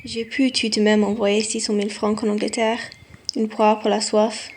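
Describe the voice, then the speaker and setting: A woman's voice: medium pitch, little pitch movement, moderate pace, a little mellow, natural voice. A woman in a student radio play, playing a wealthy, self-important bourgeois businessman. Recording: clear background noise.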